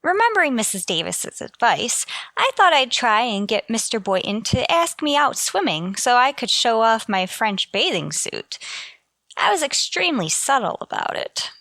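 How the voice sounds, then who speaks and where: Animated, wry schoolteacher played by a woman. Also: on the high side, moderate pace, no echo, exaggerated voice. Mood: wry, coaxing. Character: wry, lovestruck female high school teacher, adult. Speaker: lead actor and narrator. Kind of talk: old-time radio comedy acting, first-person narration.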